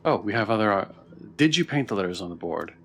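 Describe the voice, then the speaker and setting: A man's voice: fairly low pitch, expressive, fast talker, gravelly, fairly bright tone, faint music behind. A man giving casual livestream commentary as he plays a game, sometimes reading choices aloud. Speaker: streamer playing a game.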